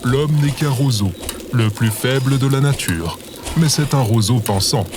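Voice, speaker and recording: A man playing a solemn, measured, philosophising companion in a comedic audio drama. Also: medium pitch, noisy background, natural voice.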